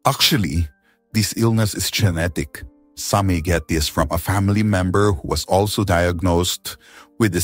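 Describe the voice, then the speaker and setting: Brief: male actor as low-voiced doctor, clinical and measured, grave Filipino audio drama, music underneath. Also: slightly rough, no room sound. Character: calm male physician explaining a grave diagnosis.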